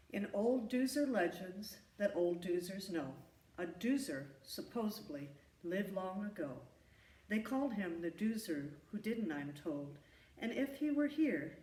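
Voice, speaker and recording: A woman reading a rhyming children's story aloud in an expressive, emphatic storytelling manner. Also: medium pitch. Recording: echoey room, distant from the mic.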